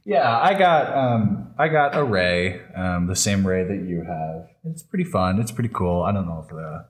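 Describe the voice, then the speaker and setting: A man's voice: deep voice, animated, moderate pace. A man talking casually as co-host of a fan podcast.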